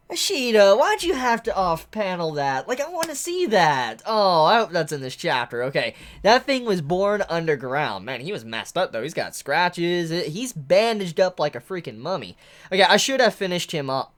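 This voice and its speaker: A woman giving a chatty, excitable live manga reaction while congested from a head cold. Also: medium pitch. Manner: excitable, chatty, exclaims often.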